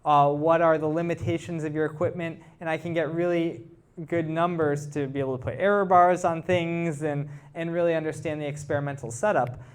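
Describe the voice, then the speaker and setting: A man with a slightly high voice presents technical research to a live audience. He explains it in an informal, conversational way and engages his listeners with questions. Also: steady tone, a little fast, clean tone. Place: live talk to a club audience.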